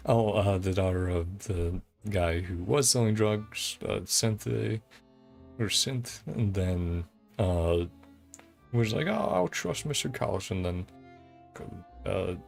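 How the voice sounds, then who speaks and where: A man's voice: on the low side, slow pace, gravelly. A man streaming a video game, giving casual commentary in fragmented, trailing remarks as he reads along with the dialogue. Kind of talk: casual let's-play commentary, murmured reading of game dialogue. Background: quiet game music.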